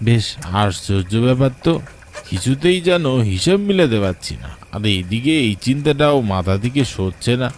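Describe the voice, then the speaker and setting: A man's voice: medium pitch, lively intonation, normal speed, slight background noise, close to an everyday voice. A man playing a weary, frightened village rickshaw puller, telling a cartoon ghost story in an anxious, suspenseful, wondering way. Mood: puzzled wonder. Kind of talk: dramatic first-person horror story narration for a cartoon.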